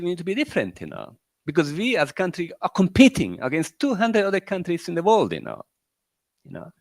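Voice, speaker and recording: A man's voice: mid-range voice, vocal fry, no echo. A middle-aged man with a Croatian accent, an entrepreneur giving rambling closing remarks at a panel, firing off rhetorical questions and filling gaps with "you know".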